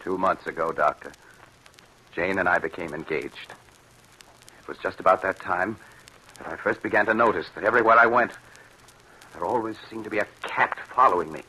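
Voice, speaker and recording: An actor playing a haunted, bitter husband with grave, dramatic delivery in an old-time radio drama. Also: male voice, deep voice, fairly quick, pauses often, sharp tone, husky, heavily acted, poor audio. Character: haunted, bitter husband recounting his troubled marriage.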